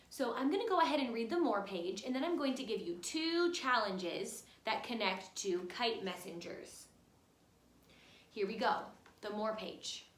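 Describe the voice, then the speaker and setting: A woman's voice: on the high side, fairly bright tone. A woman teacher reading a children's picture book aloud, speaking expressively and instructively, directly to young listeners. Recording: roomy, echoey room sound.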